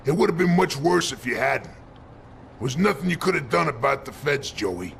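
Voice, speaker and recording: A man playing a composed, paternal mob boss in a game cutscene, gravelly and measured. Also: a little high, animated, fairly bright tone, a little hiss or hum, full character voice. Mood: calm, approving.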